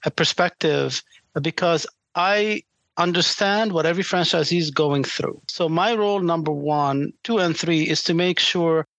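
A man whose first language is Arabic, answering interview questions conversationally as a franchise sales executive and often pausing to find his words. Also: a little high, fairly bright tone, no room sound.

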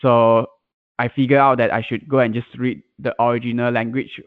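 A man's voice: mid-range voice, little pitch movement, moderate pace, no echo. A man whose first language is Mandarin, answering casually as a guest in an interview. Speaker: podcast guest, content manager and blogger. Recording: tinny, band-limited internet call audio.

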